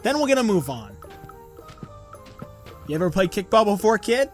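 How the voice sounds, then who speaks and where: An actor playing a playful, macabre, slow-witted skeleton prankster in an audio drama, joking and easygoing. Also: female voice, mid-range voice, sing-song, faint music behind, lightly acted. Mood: playful, teasing.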